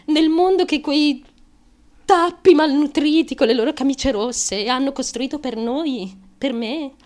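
An actress playing a fame-hungry, fragile and determined young woman in an intense confessional stage monologue, with a deliberate, emphatic and halting delivery. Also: sits high, darkish tone. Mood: fierce, determined. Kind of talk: intense theatrical monologue, confessional stream of consciousness.

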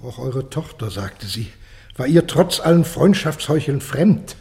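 An actor playing a grave court messenger who declaims terrible tidings dramatically and deliberately in a classical radio play. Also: male voice, medium pitch, lively intonation, gravelly, warm tone. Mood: grave, horrified.

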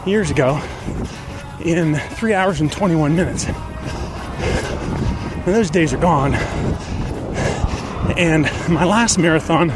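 A man in his fifties hosting a personal podcast monologue, speaking deliberately in short phrases with pauses. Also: over music. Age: 50s. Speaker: podcast host. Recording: noisy outdoor recording with traffic rumble.

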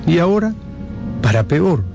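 A man narrating a scripted radio drama in a dramatic, suspenseful manner with measured phrasing. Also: on the high side, sing-song, vocal fry. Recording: loud music under the voice. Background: loud dramatic music.

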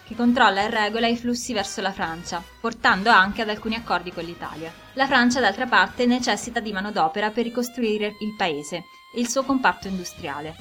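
A woman hosting a radio program, reading scripted historical narration in a measured, formal way. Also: medium pitch, a bit bright. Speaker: radio host. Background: music under the voice.

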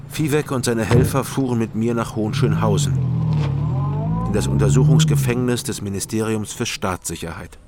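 A man's voice: lowish voice, gravelly, noisy background. An actor playing a weary, guarded East German man who narrates and speaks in a radio crime drama, restrained and hesitant. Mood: resigned.

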